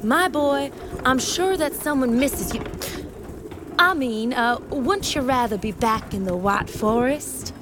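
A woman plays a formal, wry officer in a fantasy audio drama. Her voice is fairly high and articulate, with clipped politeness over exasperation. Noisy ambience with birds is behind her. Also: animated, slow pace.

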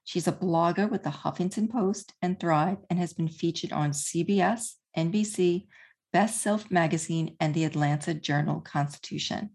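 A woman in her fifties hosting an interview, chatty and quick to laugh. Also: on the low side, slightly rough. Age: 50s. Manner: warm, chatty, laughs often. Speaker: podcast host. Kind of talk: interview conversation.

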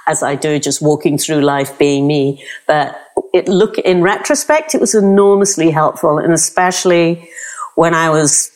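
A British woman from England, a photographer guest, answering frankly and telling lively anecdotes. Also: low voice, moderate pace. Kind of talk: interview answers, storytelling.

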